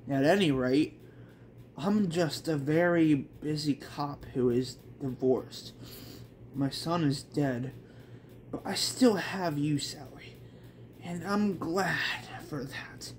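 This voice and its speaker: Guy doing a worn out police chief dad, slow plain halting read, faint noise. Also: fairly high pitch, a little mellow. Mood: weary, fond. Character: weary divorced male police chief, doting father.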